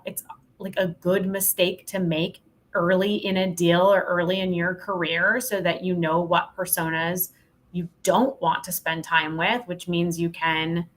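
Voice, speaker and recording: Lower-pitched woman, emphatic and candid. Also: a bit bright. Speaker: podcast guest, sales professional. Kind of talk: casual interview chat.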